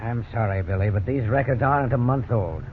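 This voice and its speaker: A man playing a polite, persistent police officer in a 1950s radio drama, measured and firm. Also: medium pitch, close to an everyday voice. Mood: firm, patient. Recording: tinny, noisy 1950s radio recording.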